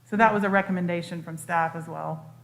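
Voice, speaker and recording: A woman's voice: lowish voice, fairly even intonation, fairly quick, low-quality recording. A woman speaking as a city staff member, giving a formal report and recommendations at a meeting.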